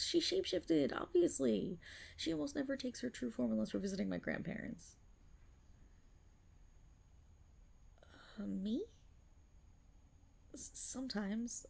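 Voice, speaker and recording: A woman voicing a timid young half-dragon rogue in an intimate ASMR roleplay, breathy and hesitant, anxious but proud, stammering and rambling. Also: mid-range voice, a little mellow, heavily acted. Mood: embarrassed, bashful. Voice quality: breathy, often slipping toward a whisper. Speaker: roleplay voice performer.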